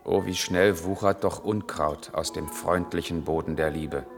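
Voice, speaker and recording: A torn, evasive intellectual with a deep voice. He speaks deliberately and seriously in 1980s radio drama style, in a long reverberant space with music in the mix. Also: steady tone. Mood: subdued, intimate. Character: brooding male lover, an East German intellectual, torn and evasive. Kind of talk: 1980s German radio play acting, measured narration and intimate dialogue.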